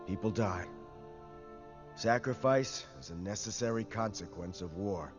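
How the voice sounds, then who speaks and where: An actor playing a hard, commanding military instructor in an animated sci-fi drama, speaking in a stern, clipped, authoritative way. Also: male voice, on the low side, unhurried, close to an everyday voice. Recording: dull film audio under loud music.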